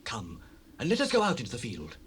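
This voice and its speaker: A solemn, animated loyal prince, noisy tape. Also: male voice, medium pitch, fairly quick. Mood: reassuring. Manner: solemn, reassuring, oath-like declamation. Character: young prince Jonathan, loyal, devoted friend. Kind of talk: dramatized scripture, audio drama acting. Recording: noisy cassette rip, distant ambience.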